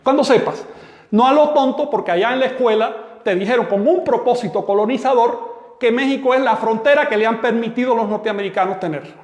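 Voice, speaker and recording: A man's voice: very high voice, brisk. Firm man lecturing, echoey room. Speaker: teacher. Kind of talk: live online class answering questions. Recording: echoey room with long reverb.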